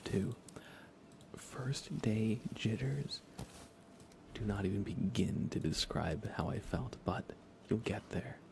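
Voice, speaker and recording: A man hosting an ASMR gaming livestream, speaking in a breathy, hushed, reassuring whisper with some vocal fry. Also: on the low side. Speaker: livestream host.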